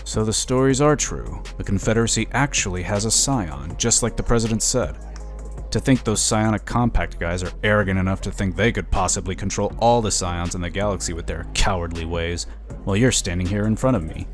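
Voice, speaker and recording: An actor playing a slick, smug lobbyist in a sci-fi audio drama, chatty and condescending. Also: male voice, fairly low pitch, a little fast, faint music behind, natural voice. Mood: smug, intrigued. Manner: chatty, smooth-talking, condescending. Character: smug, slick, scheming male political lobbyist.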